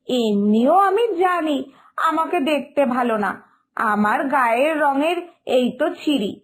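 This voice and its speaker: A woman voicing a sensible, patient elder sister-in-law who gently chides, in an animated moral story. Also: high-pitched. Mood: resigned, chiding. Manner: chiding, patient, big-sisterly.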